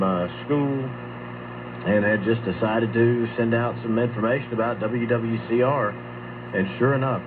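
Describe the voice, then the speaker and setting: Male host through tinny, noisy shortwave. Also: mid-range voice. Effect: shortwave radio. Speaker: radio show host. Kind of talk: conversational radio talk. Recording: noisy narrow-band shortwave radio reception.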